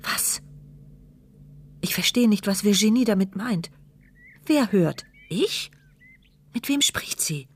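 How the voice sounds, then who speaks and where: A woman's voice: medium pitch, animated, slow, long pauses, husky. An actress voicing the inner thoughts of a helpless, mute woman in a radio crime drama, inward and watchful. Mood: rising fear. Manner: inward, watchful, tense phrasing. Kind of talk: first-person radio drama monologue.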